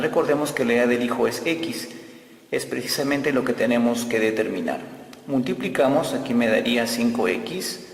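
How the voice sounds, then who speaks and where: Slow gravelly guy teaching math, says stuff twice, echoey room with a long ring. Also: mid-range voice. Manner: slow, repeats key phrases while explaining. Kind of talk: step-by-step algebra lesson.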